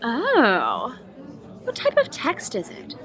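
Young two-faced female student, played by an actress, high swooping voice, sugary yet spiteful, audio drama, crowd murmur behind. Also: wildly swooping pitch, slow pace, a bit bright, heavily acted. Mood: feigned sweetness. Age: young adult. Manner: sugary and coaxing, switching to snide. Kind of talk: acted audio drama dialogue.

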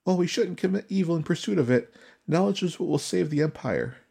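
A man voicing an earnest, frightened but determined young woman scholar in a fantasy audiobook, breathy and urgent. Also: fairly high pitch, lively intonation, a little fast, mellow, pitched above the performer's own voice, exaggerated voice. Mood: pleading, resolute.

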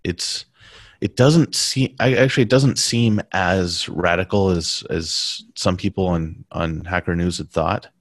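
A man talking casually in a conversation, pausing and restarting his sentences often. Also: low-pitched, no echo, clean studio sound. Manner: halting, many restarts and fillers.

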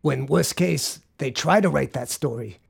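Gravelly, husky male interviewer. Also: fairly high pitch, a little slow. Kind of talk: interview questions.

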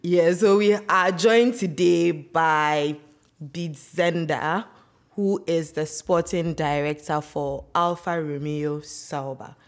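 Woman podcast host, deep voice, takes her time, runs the questions. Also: steady tone. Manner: measured, leads the questions. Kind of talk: casual podcast hosting.

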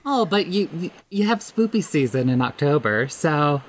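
A podcast guest chatting casually in a halting, hesitant way. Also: mid-range voice, very animated. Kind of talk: casual chat.

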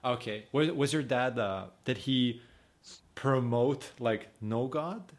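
A man's voice: mid-range voice, slow. A young man co-hosting a podcast, chatting casually and asking follow-up questions. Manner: curious, asks follow-up questions. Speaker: podcast co-host.

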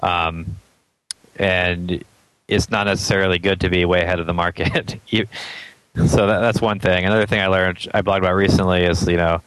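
A man's voice: deep voice, little pitch movement, normal speed, a bit bright, clean tone, no room sound, low-quality recording. A man from Atlanta, a startup founder as an interview guest, thinking aloud in casual chat with many ums and frequent chuckles.

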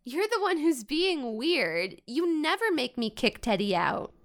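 An actress playing a blunt, sarcastic young American daughter in a naturalistic modern audio drama, quick to retort. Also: female voice, sits high, big pitch swings, heavily acted. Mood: defensive, irritated.

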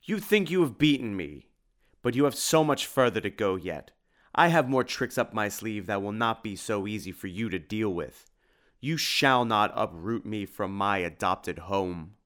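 A man's voice: on the low side, lively intonation, unhurried, a bit bright. A man voicing a grandiose, menacing vampire villain with pompous theatrical delivery in a storybook.

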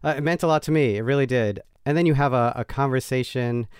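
A man co-hosting a podcast, speaking earnestly and heartfelt, pausing often with "uh" as he gathers his thoughts. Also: mid-range voice, clear, resonant tone, no echo, clean studio sound. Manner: earnest, heartfelt, hesitant with many "uh"s. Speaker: podcast co-host and editor. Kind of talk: conversational podcast chat.

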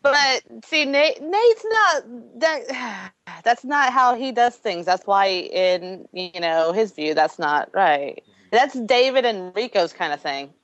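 A woman's voice: fairly high pitch, big pitch swings, slow, fairly bright tone, dry recording, highs cut off. A woman co-hosting a podcast, talking informally and conversationally in a casual discussion. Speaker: podcast co-host.

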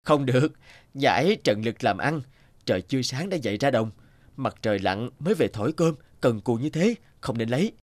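A man's voice: medium pitch, big pitch swings, fairly quick, no echo, natural voice. A man voicing a chivalrous thief in a folk tale cartoon, earnest, plain-spoken and decisive. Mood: earnest, kindly. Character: chivalrous male thief, principled, bold and protective.

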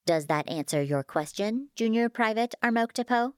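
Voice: medium pitch, animated, moderate pace, clean tone, no room sound, voice pushed up, close to an everyday voice. Woman acting polite machine intelligence, sly and teasing, formal wording, swooping intonation, dry close sound, science fiction audiobook. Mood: formal, measured.

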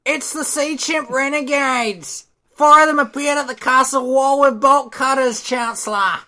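A man's voice: extremely high pitch, fairly even intonation, a little slow, pushed and bright, full character voice. A man playing a frantic palace guard messenger in an audio drama, pleading and panicky. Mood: panicked.

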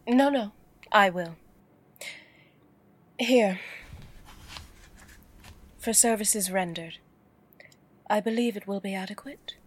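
A woman voicing a guarded femme fatale from a noir audio drama. She speaks very slowly, in a husky, breathy voice, each word kept soft and measured. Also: medium pitch. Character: guarded, alluring woman client with a dangerous past.

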